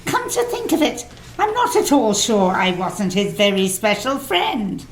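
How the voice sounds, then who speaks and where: A woman's voice: mid-range voice, sing-song, a little slow, a little hiss or hum. An actress in a community radio play, playing a brazen, callous charwoman with a colloquial, gossiping way of talking. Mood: gossipy glee. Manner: colloquial, indignant, swooping.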